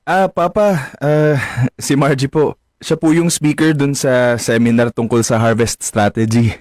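An actor playing a dutiful, bashful grown son, speaking politely and respectfully in a radio drama. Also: male voice, medium pitch, steady tone, measured pace, darkish tone, no echo, clean studio sound, lightly acted. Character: dutiful, bashful adult son courting a woman.

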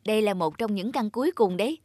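A woman's voice: mid-range voice, lively intonation, quick pace, a little mellow, no room sound, studio quality, close to an everyday voice. A woman voicing a scheming, persuasive young adult son in a cartoon. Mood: persuasive, eager. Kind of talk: cartoon dubbing.

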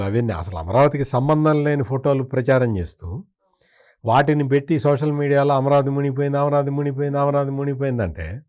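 A man's voice: medium pitch, a little mellow. A man speaking as a political commentator in an interview, in a critical, opinionated way with emphatic repetition.

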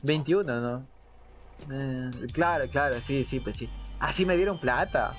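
A man's voice: mid-range voice, a little slow, faint music behind. A man in his early twenties with a Latin American accent, casually commenting on a game in slangy, joking talk. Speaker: game streamer. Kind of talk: casual playthrough commentary, reading subtitles aloud. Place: indoor room at home. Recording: dull lo-fi stream audio with game noise. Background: video game sound.

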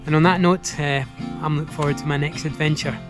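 A Scottish man in his thirties chatting casually to camera as a fishing vlogger, in a relaxed, thoughtful way with some hesitation. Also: on the high side, fast, over music. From Scotland. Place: outdoors on a grassy riverbank.

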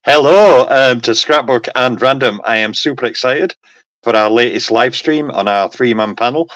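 A man's voice: mid-range voice, moderate pace, a bit bright, no echo, highs cut off. A man on a panel over a video call, rambling and saying "okay" and "um" a lot. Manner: rambling, peppers talk with "okay" and "um". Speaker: panel guest. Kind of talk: casual panel chat. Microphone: computer mic on a video call.

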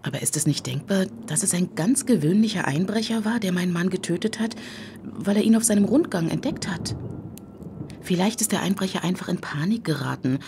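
A low-voiced, dignified grieving widow. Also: female voice, expressive, fairly quick, background noise. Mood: guarded, composed. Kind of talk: radio play acting.

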